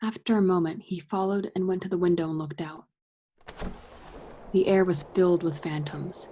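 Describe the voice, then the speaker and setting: A woman narrating a ghost tale in a radio play with a breathy voice and measured, dramatic delivery. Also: lowish voice, steady tone, mellow, slight background noise, close to an everyday voice. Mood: measured, eerie. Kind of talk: scripted narration.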